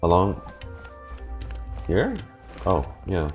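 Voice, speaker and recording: A man's voice: low voice, huge pitch swings, very slow, over music. A man giving casual gameplay reaction commentary, musing and rambling playfully as he streams. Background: video game audio.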